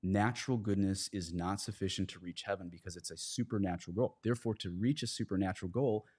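A man's voice: deep voice, fast, a little mellow, breathy edge. A man, a priest, speaking as a podcast guest, earnestly explaining religious ideas in conversational, plain analogies.

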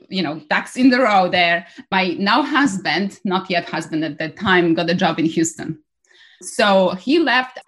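Woman with a low voice. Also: a bit bright, slightly rough. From Poland. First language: Polish. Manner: measured, thoughtful, explanatory. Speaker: guest energy policy researcher. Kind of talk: interview answers.

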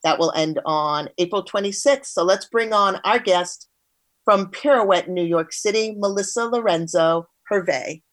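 A woman's voice: medium pitch, unhurried. A woman hosting an interview show, speaking in a presenter's style as she introduces topics and questions her guest. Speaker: show host.

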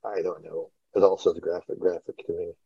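A man's voice: sits low, little pitch movement, brisk, slightly rough, no echo. A middle-aged man chatting casually as a podcast host, telling rambling, informal stories over an online call. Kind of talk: casual reminiscing podcast talk. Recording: dull, lo-fi online call audio.

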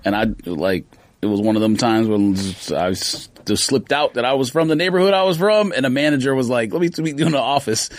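A man's voice: mid-range voice, lively intonation, a little fast. A man co-hosting a podcast in casual, slangy, bantering conversation. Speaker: sneaker podcast co-host. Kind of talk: casual chat.

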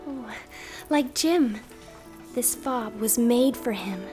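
An actress playing a devoted young newlywed wife, earnest and hesitant, in a radio drama. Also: female voice, on the high side, takes it slowly, over music. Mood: eager, earnest. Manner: hesitant, earnest, breathlessly eager. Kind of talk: stage-style radio drama acting.

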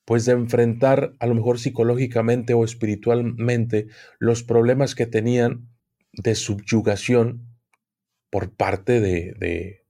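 Slow, hesitant man explaining. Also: medium pitch, little pitch movement, warm tone. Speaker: guest occult expert. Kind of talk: podcast conversation.

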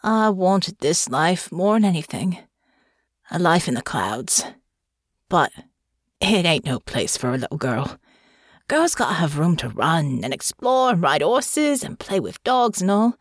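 A woman voicing a humble young male airship crewman with a soft, homey rural drawl and folksy grammar. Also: lowish voice, sing-song, a little slow, no room sound, studio quality. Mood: pensive. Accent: rural country dialect. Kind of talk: audiobook character voice.

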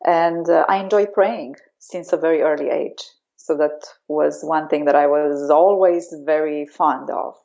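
A woman's voice: sits low, steady tone, measured pace, gravelly, a little mellow, muffled recording. A woman from Romania with a Romanian accent, a yoga teacher speaking in an interview, hesitant with many fillers. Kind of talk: remote interview.

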